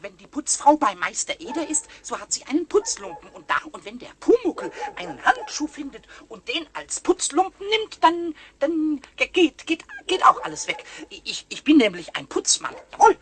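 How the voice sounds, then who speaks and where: Squeaky, hot-tempered little kobold, very high. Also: male voice, normal speed, few pauses, heavily acted. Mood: gleeful, singsong. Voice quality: squeaky, strident. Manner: excitable, stammering repetitions, cackling. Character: small impish hot-tempered kobold, childish and defiant. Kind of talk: radio play acting for children. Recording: noisy old vinyl radio play.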